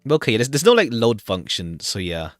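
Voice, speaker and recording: A man's voice: medium pitch, very animated, moderate pace, dry recording, studio quality. A man streaming a game, chatting casually and reading dialogue aloud in a rambling, chatty manner. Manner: rambling, chatty, repeats himself. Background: background music.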